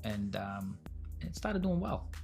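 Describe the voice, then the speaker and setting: A man's voice: fairly low pitch, very animated, slow, vocal fry, darkish tone, soft background music. A man in his forties telling his business story as a podcast guest, blunt and casual, swearing freely. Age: 40s. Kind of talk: candid storytelling interview.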